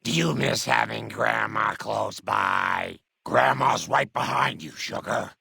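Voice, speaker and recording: An old mutant grandmother acted out by a man, doting and muddled, with menace underneath. The voice is extremely husky and gravelly, and swings wildly in pitch as it croons through game dialogue. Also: sing-song, sharp tone, no room sound, clean studio sound, full character voice. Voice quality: very raspy, gravelly, growling. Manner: doting endearments, slow crooning delivery. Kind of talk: video game character dialogue.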